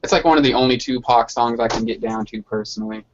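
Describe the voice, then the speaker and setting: A man's voice: mid-range voice, quick pace, slight background noise. A man chatting casually in slangy, sweary language over an online hangout call with dull, lo-fi sound. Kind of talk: casual chat. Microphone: online video hangout.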